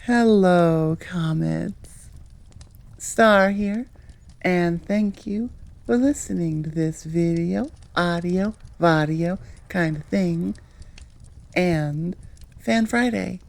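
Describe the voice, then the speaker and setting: A woman's voice: on the low side, extremely slow, vocal fry, a little mellow. A woman hosting her audio channel, chatting casually to listeners in an enthusiastic, rambling way and often interrupting herself. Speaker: audio roleplay creator and host.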